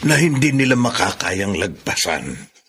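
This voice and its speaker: A man playing a kindly, devout older family elder in a radio drama, gravelly, earnest and consoling. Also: on the low side, big pitch swings, a little slow, a bit bright, a little hiss or hum, heavily acted.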